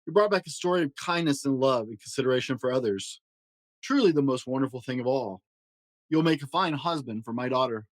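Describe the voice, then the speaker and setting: A man's voice: mid-range voice, lively intonation, a little fast, no echo, lightly acted. A man voicing a hearty, fatherly king in a storybook, grand and approving in manner. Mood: fatherly approval. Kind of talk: storybook character reading.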